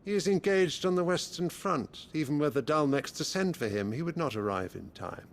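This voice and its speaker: An actor playing a cold, calculating middle-aged emperor in a fantasy game, speaking in a measured, regal, declamatory way with a gravelly voice. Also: male voice, fairly high pitch, animated. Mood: assured. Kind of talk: fantasy video game cutscene acting.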